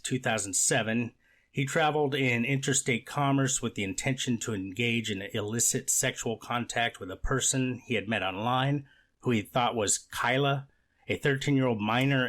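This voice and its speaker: A man playing a deliberate, fact-minded judge in a courtroom readers theater, speaking in a formal, measured and slightly halting way. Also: medium pitch, fairly bright tone, natural voice. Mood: measured, questioning. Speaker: judge reading and questioning counsel.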